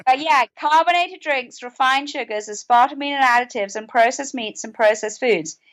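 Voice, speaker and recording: A woman's voice: high-pitched, moderate pace, a bit bright, rough recording. A British woman speaking conversationally as an interview guest, explaining things in an earnest way. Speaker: podcast guest, author and mental health advocate.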